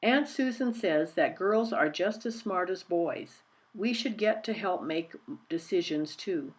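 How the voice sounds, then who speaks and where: A woman's voice: on the low side, animated, measured pace. Spirited storybook schoolgirl, acted by a woman reader, earnest, indignant, full of questions. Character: spirited, indignant young girl, eager and questioning.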